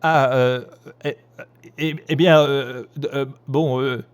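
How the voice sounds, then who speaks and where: An actor playing a playful, bumbling police inspector, excitable and easily flustered, in a comedic audio drama. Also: male voice, mid-range voice, slow, clean tone, soft background music, close to an everyday voice. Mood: flustered, deflated.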